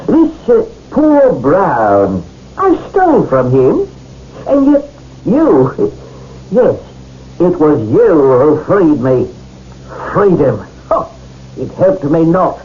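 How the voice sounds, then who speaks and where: An actor playing a bitter, vengeful condemned English prisoner, mocking and theatrical in a radio drama. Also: male voice, fairly high pitch, huge pitch swings, extremely slow, pauses often, full character voice. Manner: theatrical, mocking, swooping declamation. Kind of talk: 1970s radio drama acting. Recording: muffled old radio broadcast.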